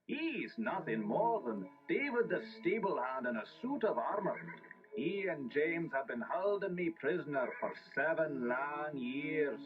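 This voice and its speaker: A man's voice: fairly high pitch, big pitch swings, unhurried. A man playing a kindly, genial cartoon lord with a Scottish accent in a children's story. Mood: reassuring. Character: kindly Scottish lord, Goofy's long-imprisoned cousin. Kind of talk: children's story cassette acting. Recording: tinny, muffled old cassette audio. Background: background music.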